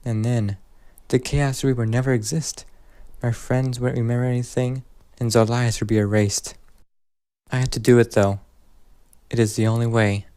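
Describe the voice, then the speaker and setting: A man's voice: mid-range voice, fairly even intonation, moderate pace, pauses often, warm tone, smooth, no room sound, lightly acted. An actor playing an earnest, determined young male hero with a dramatic, resolute delivery in a video game cutscene. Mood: somber resolve.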